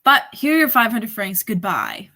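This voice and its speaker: A woman's voice: a little high, sing-song, moderate pace, sharp tone, heavily acted. A woman playing a haughty, possessive nightclub singer with imperious, melodramatic delivery in a radio drama. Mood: possessive, defiant. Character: Aisha, haughty, possessive female singer of the Casbah. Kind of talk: 1940s radio drama acting.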